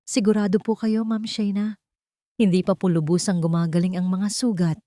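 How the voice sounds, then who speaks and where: A woman's voice: medium pitch, fairly even intonation, normal speed, dark tone, no echo, studio quality, close to an everyday voice. A woman voicing a grave, loyal man who speaks politely and earnestly in an audiobook drama. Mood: worried. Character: grave, respectful man, loyal helper seeking truth.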